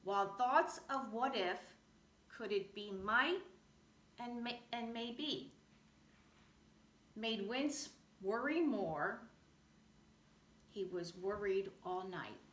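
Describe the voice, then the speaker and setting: A woman reading a children's picture book aloud in an expressive, rhythmic way. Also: medium pitch, takes it slowly, long pauses. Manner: animated, rhythmic rhyming delivery. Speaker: storybook reader. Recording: roomy echo, faint background noise.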